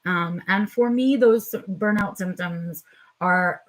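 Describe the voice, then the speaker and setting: A woman hosting her own live vlog in a casual, chatty way, her voice a little raspy and tired, with frequent ums as she talks straight to her viewers. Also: medium pitch, takes it slowly. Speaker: vlog host. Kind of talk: casual unscripted live chat.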